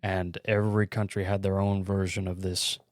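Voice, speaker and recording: A man's voice: low-pitched, flat delivery, normal speed, no echo, studio quality. A man co-hosting a podcast, casually explaining things and chiming in with agreement. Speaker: podcast co-host.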